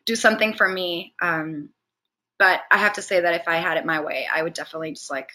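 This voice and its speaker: Bright-voiced woman, chatty and expressive. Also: on the low side. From Venezuela. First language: Spanish. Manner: chatty, expressive, quick to laugh. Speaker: interview guest. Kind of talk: casual conversational interview, personal stories.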